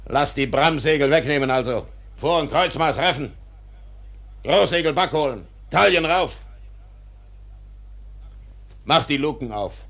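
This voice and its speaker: A man's voice: a little high, animated, a little slow, long pauses, a bit bright. A man playing a commanding ship's captain in a radio drama. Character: commanding male ship's captain barking sail orders. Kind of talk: German radio play acting.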